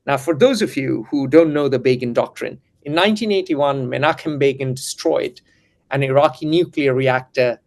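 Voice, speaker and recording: A man's voice: medium pitch, normal speed. A man hosting a geopolitical discussion, lecturing and full of historical asides. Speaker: host and editor.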